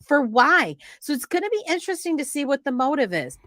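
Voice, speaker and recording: A woman's voice: high-pitched, very animated, brisk, fairly bright tone, no room sound. A woman hosting an informal true-crime show, talking in an earnest, emphatic and cautionary way.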